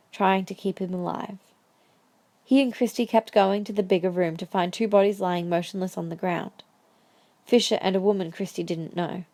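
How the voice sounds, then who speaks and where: A woman's voice: medium pitch, darkish tone, no room sound. A woman reading scripted story narration for an audio drama.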